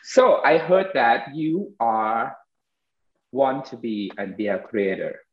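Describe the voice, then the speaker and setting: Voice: medium pitch, expressive, takes it slowly. A podcast host in their early thirties chats casually in non-fluent English, with a blunt, joking manner. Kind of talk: casual chat. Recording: echoey room, dull lo-fi audio.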